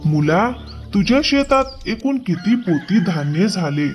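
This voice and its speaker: High-voiced nosy village uncle played by a man. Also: big pitch swings, unhurried, soft background music. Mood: curious. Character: inquisitive older village uncle. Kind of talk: animated moral story dialogue.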